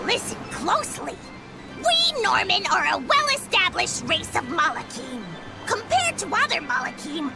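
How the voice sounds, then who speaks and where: Tiny excitable game spirit, super squeaky, whiny n dramatic, music behind. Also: female voice, gravelly, full character voice, low-quality recording. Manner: theatrical, exclamatory. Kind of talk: video game cutscene voice acting. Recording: music under the voice.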